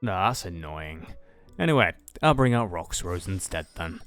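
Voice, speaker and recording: Casting: man, lowish animated voice, theatrical duelist calling moves in a fan roleplay, music underneath. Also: moderate pace. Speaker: player in a card duel roleplay. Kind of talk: improvised anime card-duel roleplay, calling out moves.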